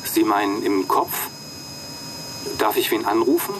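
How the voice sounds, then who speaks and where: A man's voice: lowish voice, unhurried, a little hiss or hum, natural voice, poor audio. A man playing a glib, self-assured job candidate in a radio drama, speaking casually. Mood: flustered.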